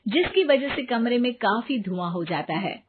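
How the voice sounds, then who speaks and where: A woman's voice: fairly high pitch, lively intonation, moderate pace, slightly rough, narrow, tinny audio. A woman giving scripted narration as the storyteller of a cartoon tale.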